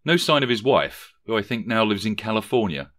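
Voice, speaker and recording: Everyday working dad, plain and chatty, read by a man, bright tone. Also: lowish voice, very animated, a little fast. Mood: relaxed. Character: ordinary working man, a family father, matter-of-fact.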